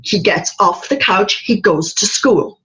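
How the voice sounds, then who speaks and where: A woman's voice: medium pitch, a little slow, muffled recording. A woman hosting an interview, talking emphatically and telling stories with feeling. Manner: emphatic, animated storytelling. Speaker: podcast host. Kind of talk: casual interview chat.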